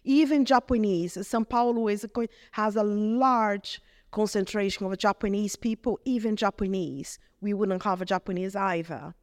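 A middle-aged woman with a Brazilian Portuguese accent, speaking in English as a vicar. She gives an earnest, halting testimony and often restarts her phrases. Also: medium pitch, dry recording, clean studio sound. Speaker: vicar, guest. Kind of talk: reflective testimony in conversation.

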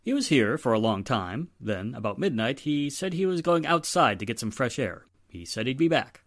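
A woman acting a steady, earnest male police inspector in a 1940s-style radio drama. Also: very deep voice, moderate pace, no room sound, clean studio sound, full character voice. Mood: explanatory.

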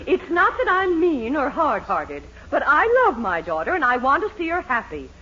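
An actress playing a stern, sharp-tongued middle-aged mother in a 1940s radio comedy, with an emphatic, scolding delivery. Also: sits high, sing-song, moderate pace, heavily acted. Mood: scolding, firm. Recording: dull old radio recording, audience, hiss.

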